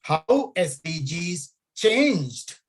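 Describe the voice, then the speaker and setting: Sri lady academic, slow and halting, lots of uhs, lowish voice. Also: very animated, breathy edge. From Sri Lanka. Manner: hesitant, full of fillers, repeats key phrases. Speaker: academic researcher. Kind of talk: conversational interview.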